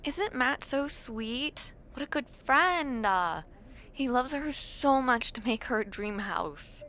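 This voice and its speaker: A woman's voice: high-pitched, expressive, slow pace, bright, forceful tone, exaggerated voice. A woman playing an awkward, imaginative young university dormmate in a casual, intimate audio roleplay, chatting in a hesitant, self-deprecating way. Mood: playful, chatty.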